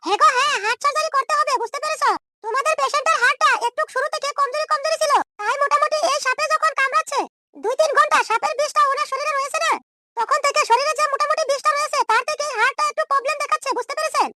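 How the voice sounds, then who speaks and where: A man voicing a brisk, insistent female hospital nurse in an amateur comedy cartoon, explaining firmly through a chipmunk-like pitch effect. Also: fairly even intonation, sharp tone, a little hiss or hum, full character voice. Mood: urgent, explaining.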